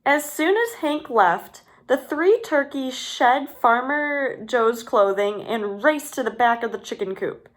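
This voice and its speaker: A woman reading a children's storybook aloud in an expressive, deliberate manner aimed at young listeners. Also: high voice.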